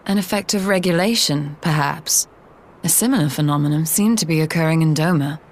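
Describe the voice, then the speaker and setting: A woman playing a composed, scholarly young mage in a fantasy video game, speaking in a measured, formal, analytical way. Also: low voice. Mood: thoughtful, composed. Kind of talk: dramatic video game cutscene acting.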